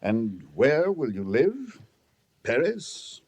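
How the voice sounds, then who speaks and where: A man's voice: medium pitch, huge pitch swings, very slow, darkish tone, slightly rough, heavily acted. A man playing a hearty, chummy captain in a 1950s radio adventure, with old-fashioned turns of phrase. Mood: persuasive. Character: jovial British colonial captain. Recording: noisy 1950s radio recording.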